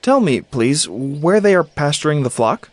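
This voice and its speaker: Man acting eager young dreamer, lively pitch. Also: moderate pace, no echo. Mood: willing, polite. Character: earnest, naive teenage boy dreamer. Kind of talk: dramatized scripture reading.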